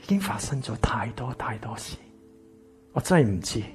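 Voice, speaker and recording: An actor playing a wounded, embittered rich man in a Cantonese radio drama, with a Hong Kong accent and a breathy, husky voice. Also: medium pitch, very animated, normal speed, lightly acted. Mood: hurt, desperate. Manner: intense, dramatic. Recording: music behind the voice.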